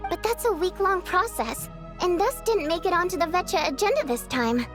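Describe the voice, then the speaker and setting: A woman voicing a youthful, earnest and thoughtful female companion in a story game, explaining things in a measured way. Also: extremely high pitch, animated, music in the background. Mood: earnest, explanatory. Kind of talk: scripted game story dialogue.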